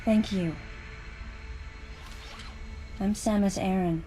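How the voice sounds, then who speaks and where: A woman playing a stoic, brooding young female bounty hunter in a video game, speaking in a measured, solemn and restrained way. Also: mid-range voice, fairly even intonation, close to an everyday voice. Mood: calm, earnest. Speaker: video game protagonist and narrator. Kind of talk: scripted dramatic inner-monologue narration and game dialogue. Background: loud background music.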